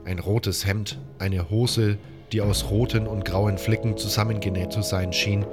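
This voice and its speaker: A man with a fairly low voice narrates a scripted fantasy story in the first person. Music runs quietly underneath his reading. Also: fairly even intonation, a little slow. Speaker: storyteller reading a game-based audio story.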